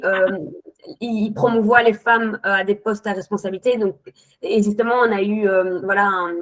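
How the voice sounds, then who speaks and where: Woman in her 30s, video-call guest, mid pitch, halting delivery that wanders off topic. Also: low-quality recording. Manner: hesitant, many restarts, rambling. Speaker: webinar guest, account manager. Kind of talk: spontaneous testimony.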